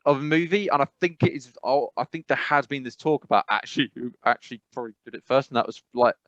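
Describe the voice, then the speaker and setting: An English man chatting on a podcast, rambling through his thoughts and stressing words by repeating them. His voice sits at an ordinary pitch. The recording is dry and a little muffled. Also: fast talker, no echo. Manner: rambling, animated, emphatic repetition. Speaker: podcast co-host. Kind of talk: casual chat.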